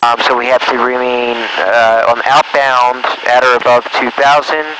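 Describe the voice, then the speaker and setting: A man talks through an instrument approach from the pilot's seat of a small plane. His voice sits at a middle pitch and he works things out aloud, haltingly. A clear layer of cockpit noise runs behind the headset audio. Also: level tone. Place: inside a small aircraft cockpit. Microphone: aviation headset intercom. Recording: noisy small-plane cockpit, intercom and radio.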